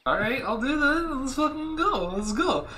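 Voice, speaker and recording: A man's voice: extremely high pitch, big pitch swings, moderate pace, bright, forceful tone, slight background noise. A man streaming a video game, chatting casually and swearing with friends in voice chat. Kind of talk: casual live-stream chat while gaming.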